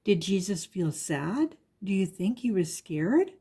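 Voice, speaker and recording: Woman, children's storybook reader, dark relaxed tone, a little husky, measured sing-song rhyme. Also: medium pitch. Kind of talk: reading a rhyming children's picture book aloud.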